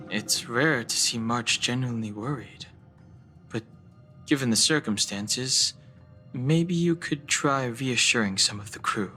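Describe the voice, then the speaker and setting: A man's voice: medium pitch, slow, bright, forceful tone, faint music behind. A man reading video game dialogue aloud.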